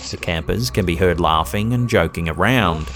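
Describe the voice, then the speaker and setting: A man reading scripted narration in a measured, suspenseful way. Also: sits low, noisy background.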